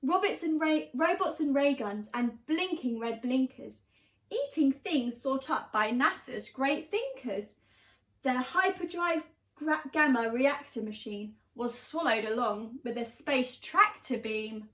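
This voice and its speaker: A woman with a high voice reads a children's storybook in a roomy, echoing space. She tells it expressively, leaning on key words for drama. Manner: animated, dramatic emphasis with drawn-out key words. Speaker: storyteller reading a children's picture book. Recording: roomy, echoing room sound.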